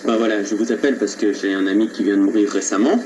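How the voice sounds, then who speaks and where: A man making a prank phone call in a hesitant, halting way, keeping a deadpan, earnest tone. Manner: hesitant, halting, feigned seriousness. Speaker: prank caller. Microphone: telephone line. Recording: noisy low-quality telephone recording from 2001.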